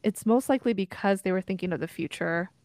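A young woman born in Korea, co-hosting a casual podcast. She thinks out loud in a reflective, searching way, full of "like" and "you know." Her voice is mid-pitched and recorded dry and close. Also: fast talker, dry recording. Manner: reflective, thinks aloud with many likes and you-knows. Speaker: podcast co-host. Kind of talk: casual chat.

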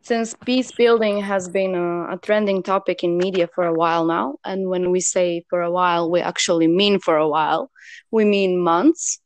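A woman's voice: lowish voice, steady tone, moderate pace, dry recording. A young woman from Serbia co-hosting a casual discussion, talking with many fillers and restarts. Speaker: co-host.

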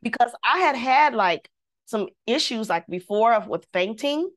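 A woman, a singer, telling a personal story in casual conversation, lively and devout, acting out the voices she quotes. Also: a little high, measured pace. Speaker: guest.

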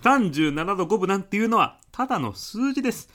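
Forceful male voice, lively intonation, pushy eccentric businessman, matter-of-fact arguing, comedy audio drama. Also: high-pitched, brisk. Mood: argumentative. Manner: insistent, matter-of-fact, argumentative. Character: pushy, quibbling, eccentric businessman visitor with absurd logic. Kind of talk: comedic short radio drama acting.

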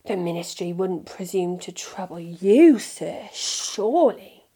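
A woman's voice: fairly low pitch, expressive, takes it slowly, dark tone, breathy edge, full character voice. A woman putting on an oily fawning shopkeeper. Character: oily obsequious male shopkeeper.

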